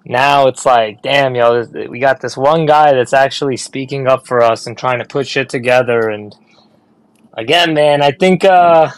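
A man's voice: medium pitch, normal speed, smooth. A man hosting an online audio chat, laid-back and slangy, asking questions casually. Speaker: host of audio space.

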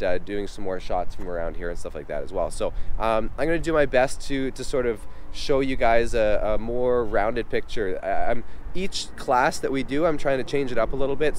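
A man in his thirties chatting casually to camera as a vlog host, congested with a head cold, speaking informally and a bit haltingly outdoors into a camera mic at arm's length. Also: mid-range voice, a little hiss or hum. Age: 30s. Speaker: vlog host, helicopter company owner. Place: outdoors on an open helipad. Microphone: external camera mic at arm's length.

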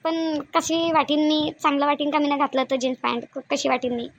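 A woman's voice: sits high, little pitch movement, brisk. A woman voicing a shy, naive young newlywed wife in a cartoon, fretful and exclaiming, with a Varhadi Marathi accent from Vidarbha. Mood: bashful worry. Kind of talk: cartoon dialogue acting in colloquial dialect.

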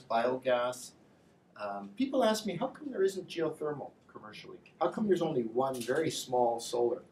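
A man's voice: mid-range voice, darkish tone. Distant, echoey man presenting. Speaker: industry association representative, panel speaker. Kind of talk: public presentation at a panel discussion. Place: indoor lecture room with slide screen. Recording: echoey room, distant mic, faint noise.

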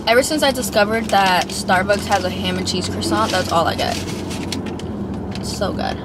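A woman's voice: medium pitch, moderate pace. A young woman vlogging to a dashboard camera from inside her car, chatting casually and rambling with lots of filler words. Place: inside a parked and moving car. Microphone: dashboard-mounted camera. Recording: loud car and road noise. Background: car engine and traffic noise.

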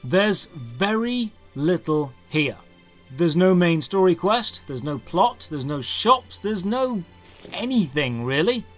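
High-voiced British man, sardonic game reviewer. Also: very animated, takes it slowly, fairly bright tone, faint music behind. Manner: emphatic, rhetorical questions, sardonic. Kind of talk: scripted narration, critical game review.

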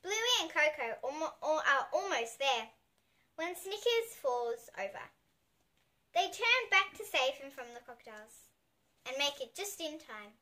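Little girl reading a kids book out loud, super high voice, really expressive, takes her time. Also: measured pace. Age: child. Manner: animated storyteller with chatty asides to listeners. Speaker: young host reading picture books for kids. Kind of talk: expressive picture book read-aloud. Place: small indoor room with bookshelves.

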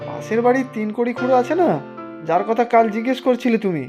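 A woman's voice: on the high side, fast talker, music in the background, natural voice. A woman voicing a friendly, helpful village neighbour man in a cartoon, in a chatty manner. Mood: pleading. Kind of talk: Bengali cartoon dubbing.

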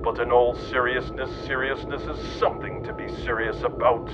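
A man's voice: flat delivery, moderate pace. A man reading scripted satirical narration in a mock-serious, declamatory manner. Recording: dull lo-fi audio, loud rumbling noise.

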